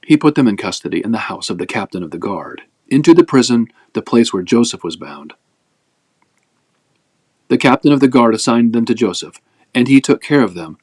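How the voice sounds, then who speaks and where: A man reading scripture aloud as a narrative, with measured, expressive phrasing, as host of a daily reading. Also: mid-range voice, breathy edge, no echo.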